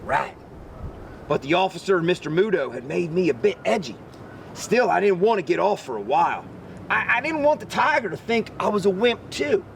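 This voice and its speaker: A man reading a children's book aloud expressively like a teacher, pausing to quiz his listeners. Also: sing-song, vocal fry. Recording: distant mic, rumbly background noise.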